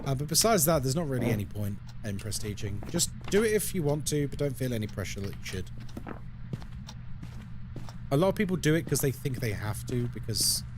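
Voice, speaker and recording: A man's voice: mid-range voice, sing-song, brisk, noisy background. A man from London with a London accent, casually chatting with viewers and explaining the game as he plays on a live stream. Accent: London English. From London, United Kingdom. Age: adult, over 20. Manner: chatty, explains the game while answering chat.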